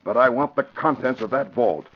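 A man's voice: medium pitch, moderate pace, slightly rough, lightly acted. A man playing an earnest, authoritative American police commissioner in a classic radio drama. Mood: grave, urgent. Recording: muffled, lo-fi vintage radio recording.

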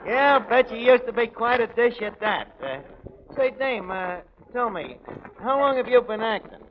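Through heavy noise on an old radio recording comes a brash, bossy New tavern manager. His unpolished voice sits high for a man and swings widely in pitch. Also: animated, normal speed. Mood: familiar, bumbling. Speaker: show regular. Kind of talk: 1940s radio comedy acting. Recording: noisy, dull 1940s radio recording.

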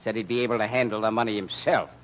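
An actor playing a dour, pessimistic company treasurer who grumbles through an American old-time radio mystery. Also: male voice, fairly low pitch, steady tone, rapid-fire, close to an everyday voice. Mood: bitter. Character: sour, gloomy, grumbling male company treasurer. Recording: dull vintage radio recording.

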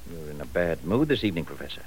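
A man playing a manipulative professor in an old radio drama, smooth and patronizing. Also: extremely low pitch, animated, very fast, rough recording. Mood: cool. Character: scheming older professor, cool and manipulative. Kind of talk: 1940s radio acting. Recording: faint hiss of an old radio recording.

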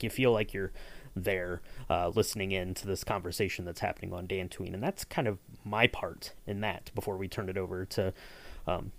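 A man hosting a podcast, explaining things in a casual, conversational way. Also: lowish voice. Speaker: podcast host. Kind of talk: casual behind-the-scenes podcast chat.

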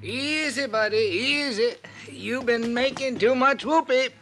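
An actor playing a friendly, folksy cab driver in a 1950s radio drama, solicitous and colloquial. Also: male voice, very high-pitched, unhurried, sharp tone, faint music behind, full character voice. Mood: concerned, folksy. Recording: noisy vintage radio recording.

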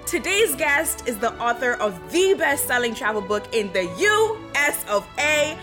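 A woman's voice: sits high, sing-song, unhurried, over music. A woman hosting a podcast in a playful, effusive, sassy way, chatting casually with lots of slang. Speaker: podcast host.

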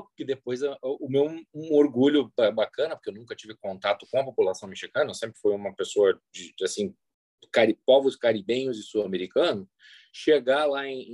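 A Brazilian man tells stories in a casual, slangy way. His pitch sits in the middle of the male range, and the sound is dry, with very little room around it. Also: darkish tone, no room sound. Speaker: sports interpreter and guest. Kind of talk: casual, anecdotal interview chat.

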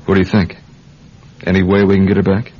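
A man playing a world-weary intelligence agent in a radio drama, terse and low-key, partly narrating his own case. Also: monotone, fast, slight background noise, lightly acted. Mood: guarded concern. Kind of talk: radio drama acting with first-person narration. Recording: dull radio recording, music behind.

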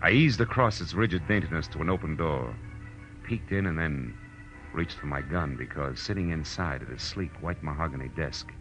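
An actor playing a tough, wry private detective in 1940s radio drama style, terse and dramatic. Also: male voice, rumbling low, moderate pace. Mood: tense narration. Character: tough, wry male private detective narrating his case. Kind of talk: 1940s radio drama acting, hard-boiled narration. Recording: muffled old radio recording with music.

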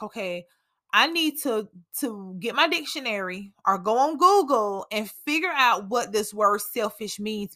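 A candid, chatty woman in her early thirties hosts her own solo podcast. Her voice is bright and forceful and sits a little high. She laughs easily as she talks. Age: 30s. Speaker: podcast host, stay-at-home mom. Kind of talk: casual solo podcast talk.

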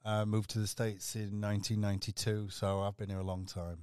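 A man's voice: on the low side, flat delivery, brisk, darkish tone, clear, resonant tone, dry recording, clean studio sound. A middle-aged man with an English accent, chatting casually as a podcast guest. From Sheffield, England. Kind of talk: casual chat.

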